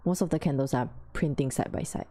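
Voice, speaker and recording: A woman hosting a casual trading livestream, talking quietly with a sore throat as she answers questions from chat. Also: a bass voice, fairly quick, slight background noise. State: sore throat, losing her voice. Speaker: forex trading livestream host.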